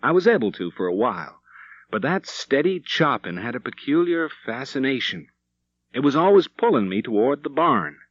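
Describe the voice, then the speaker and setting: Animated male storyteller, dry sound. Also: mid-range voice, normal speed, dry recording. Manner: dramatic, vivid delivery. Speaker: audiobook narrator. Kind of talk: expressive storytelling narration of a Western novel. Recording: slightly dull, dry studio recording.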